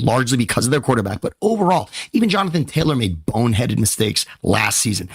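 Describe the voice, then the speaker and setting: A man co-hosting a sports talk show, excitable and emphatic, hyping up what he sees while straining his voice. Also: medium pitch, breathy edge, no echo. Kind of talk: excited sports analysis chat.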